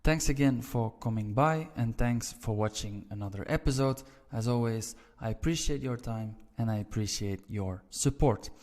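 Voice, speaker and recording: A man's voice: mid-range voice, a little mellow, big-room sound. A Belgian man with a Flemish accent giving a patient, step-by-step online language lesson. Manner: slow, patient, repeats and explains step by step. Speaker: language teacher.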